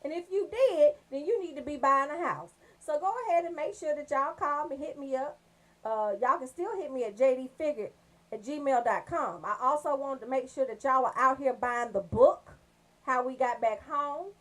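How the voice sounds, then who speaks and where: A woman's voice: sits high, moderate pace. An American woman hosting a casual radio show, chatty and slangy, joking as she talks straight to her listeners. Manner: slangy, joking, bantering, addresses listeners directly.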